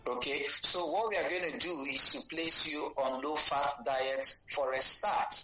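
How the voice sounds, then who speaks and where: A man's voice: fairly high pitch, a little slow, sharp tone, faint music behind. A man with a Nigerian accent explaining health advice as a dietitian in an interview, teaching step by step and checking that he is followed. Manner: didactic, checks understanding with "okay" and "you know". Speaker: dietitian guest. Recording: roomy, echoey sound.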